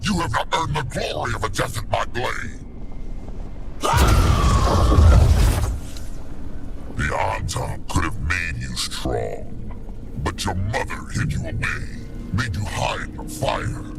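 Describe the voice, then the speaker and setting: Menacing fantasy game warlord: slow, gravelly, pompous and swooping, under loud music. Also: male voice, deep voice, wildly swooping pitch, exaggerated voice. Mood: fierce, commanding. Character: menacing, grandiose male warlord calling himself Dragon King. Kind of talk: dramatic fantasy video game acting.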